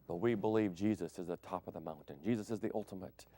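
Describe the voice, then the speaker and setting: A man preaching a broadcast sermon as pastor, swinging between loud emphatic declarations and soft hushed phrases. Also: sits low, fast. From Thailand.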